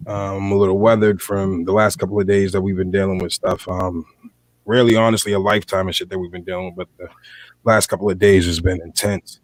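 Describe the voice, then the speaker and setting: Low-voiced, slightly hoarse American man. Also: level tone, a little fast, no room sound. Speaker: podcast host. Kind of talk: casual podcast talk.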